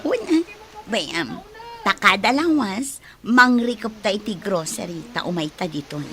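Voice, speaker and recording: A woman's voice: medium pitch, animated, a little slow, sharp tone. An actress playing a carefree, scheming village woman enjoying new money in an Ilocano radio drama, with an Ilocano accent and background noise. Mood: breezy.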